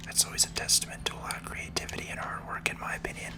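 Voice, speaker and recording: A person whispering calm, unhurried ASMR commentary over a video game playthrough in a soft, breathy voice. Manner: calm, unhurried, gently explanatory. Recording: music behind the whisper. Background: game music.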